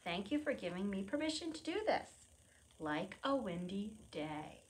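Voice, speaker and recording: A woman presenting a preschool storytime, reading aloud and explaining in simple, expressive phrases with questions for young children. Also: mid-range voice, moderate pace.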